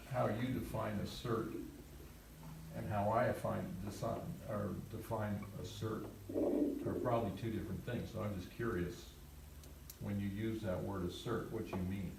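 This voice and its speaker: A man's voice: lowish voice, creaky, roomy sound, distant mic, heavy background noise. A man in the audience of a community meeting, asking skeptical, wry questions.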